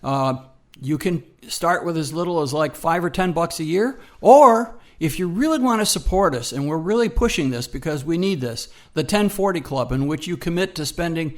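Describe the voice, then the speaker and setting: A man with a fairly high voice speaks as a radio co-host. His delivery is casual and folksy, with hesitant stretches and repeated words to drive a point home. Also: animated, normal speed. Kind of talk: casual promotional chat.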